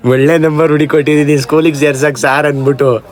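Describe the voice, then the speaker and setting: A man's voice: on the high side, level tone, quick pace. A man with a Karnataka Kannada accent chatting casually as host of a prank-call vlog.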